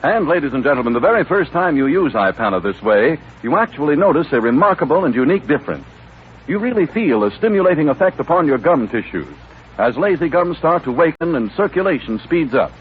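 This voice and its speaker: American guy doing earnest old radio ad, tinny phone-like sound, lively pitch. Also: a little fast. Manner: polished, earnest sales delivery. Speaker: announcer. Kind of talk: 1930s radio ad announcing. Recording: tinny, noisy 1939 radio broadcast.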